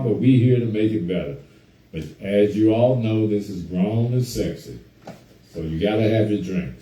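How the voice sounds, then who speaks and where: A man hosting a casual talk show on a group call, hoarse from a cold, chatting easily and greeting listeners by name. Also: on the low side, mellow, roomy sound, distant mic, poor audio. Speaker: host.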